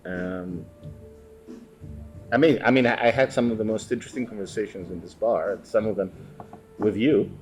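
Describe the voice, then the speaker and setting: A man with a Greek accent, a guest chatting in a bar, speaking haltingly with many fillers and restarts. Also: lowish voice, a little mellow. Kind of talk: casual chat.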